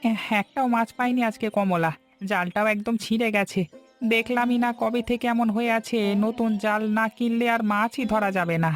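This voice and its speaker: A man's voice: very high-pitched, normal speed, faint music behind. An actor playing a weary, poor middle-aged fisherman, a worried and devoted husband, in a dramatic cartoon folk tale. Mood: anxious.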